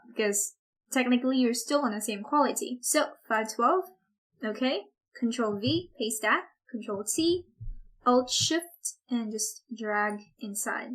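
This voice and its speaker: A woman with a fairly high voice walks through a screen-recorded software tutorial at her desk mic. She talks in a loose, chatty way, full of "like" and "kind of", and her voice is a little hoarse and worn today. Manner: casual, chatty, lots of "like" and "kind of". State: losing her voice, a bit hoarse. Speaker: online course instructor.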